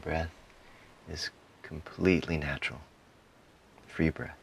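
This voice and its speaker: A man guiding a meditation in a calm, soothing manner, giving soft instructions in short phrases with frequent pauses. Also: rumbling low, vocal fry, a little hiss or hum, poor audio. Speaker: meditation guide. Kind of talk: guided meditation, softly spoken instruction.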